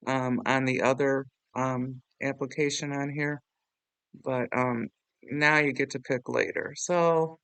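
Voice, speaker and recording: Chatty, rambling man with a smooth voice. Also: on the high side, pushed and bright, no echo. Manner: rambling, chatty, self-deprecating. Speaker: tutorial host. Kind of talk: casual screen-recorded software tutorial.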